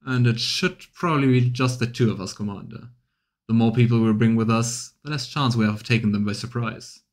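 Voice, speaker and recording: A man's voice: mid-range voice, a little fast, natural voice. A man voicing a cold, terse hired killer in a fantasy game, with a steely manner. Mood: cold, businesslike. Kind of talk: voiced fantasy game dialogue.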